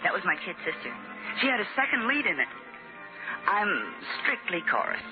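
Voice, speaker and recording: A woman's voice: mid-range voice, big pitch swings, moderate pace, lightly acted. Actress as a guarded, tender American chorus girl. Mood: coy, friendly. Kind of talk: old-time American radio drama acting. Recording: dull old radio recording with music.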